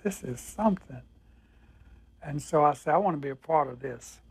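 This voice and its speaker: An older man in a documentary interview, recalling past events slowly and emphatically. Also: on the high side, lively intonation, slightly rough. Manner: slow, emphatic recollection. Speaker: interviewee recalling the civil rights movement.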